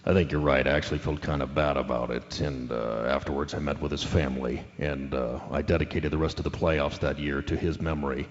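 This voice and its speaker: A man playing a dim, earnest ex-football player on a sports radio show, halting as he states the obvious, in a radio drama. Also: rumbling low, steady tone. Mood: earnest, modest. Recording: dull lo-fi sound, long hall reverb.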